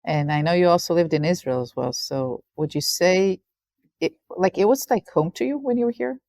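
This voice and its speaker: A woman hosting an interview, giving a warm introduction and asking direct questions. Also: lowish voice, normal speed, dry recording, studio quality. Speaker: podcast host, interviewer.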